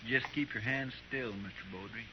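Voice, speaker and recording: An actor playing a dutiful, eager sidekick in an old-time radio western, deferential and hurried. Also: male voice, medium pitch, lightly acted. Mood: alert. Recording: noisy dull old radio recording.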